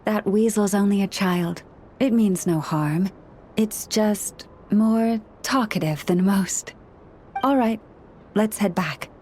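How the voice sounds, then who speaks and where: An actress playing a gentle, scholarly young priestess in a video game, speaking in a formal, measured, courteous way. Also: female voice, medium pitch, a little hiss or hum, lightly acted. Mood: calm, reassuring. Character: gentle, scholarly young woman, a nature-loving moon priestess. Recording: music behind the voice. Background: background music.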